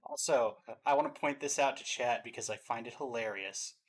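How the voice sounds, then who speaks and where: A man's voice: medium pitch, moderate pace. A man hosting a casual reaction show, explaining game trailers to his audience.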